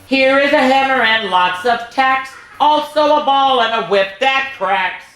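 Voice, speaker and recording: A woman's voice: high voice, unhurried. Echoey-voiced woman hosting children's story time. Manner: chatty, animated asides to young listeners. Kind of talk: reading and singing picture books to children. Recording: echoey room.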